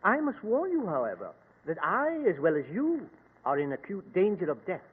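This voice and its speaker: An actor playing a dignified, apprehensive, educated Egyptian gentleman with formal, deliberate speech and a husky voice in old-time radio drama. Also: sits high, huge pitch swings, exaggerated voice. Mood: foreboding. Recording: echoey, tinny old radio recording.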